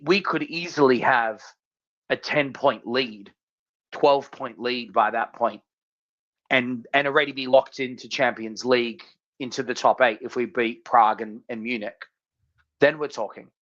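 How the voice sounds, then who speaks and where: A man's voice: mid-range voice, a bit bright. A man with an Australian accent co-hosting a casual sports podcast, talking emphatically and often repeating his phrases. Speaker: podcast co-host. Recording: slightly dull lo-fi audio.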